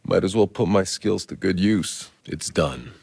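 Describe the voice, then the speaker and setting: An actor playing a weary, cynical, foul-mouthed sci-fi soldier for a video game, with a gruff, sardonic, laconic delivery and a gravelly, husky voice. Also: male voice, low voice, expressive, measured pace, darkish tone, big-room sound, exaggerated voice. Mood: dry, businesslike.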